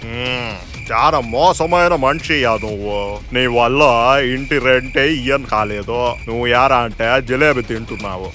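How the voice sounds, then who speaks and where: A man playing a merciless, greedy landlord in an animated moral story, scornful and threatening. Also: mid-range voice, moderate pace, faint music behind, natural voice. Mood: angry, threatening. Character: merciless, greedy male landlord bullying poor tenants.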